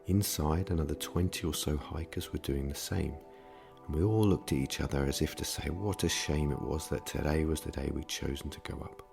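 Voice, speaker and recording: A man's voice: very deep voice, steady tone, fairly quick, creaky. A man hosting a podcast, reading a scripted personal story as an introduction in a narrator's style. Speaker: podcast host. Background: music.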